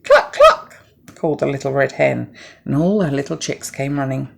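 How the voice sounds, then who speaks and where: Low, creaky-voiced woman reading a children's story. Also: steady tone, fairly quick, a little mellow. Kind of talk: scripted children's story narration.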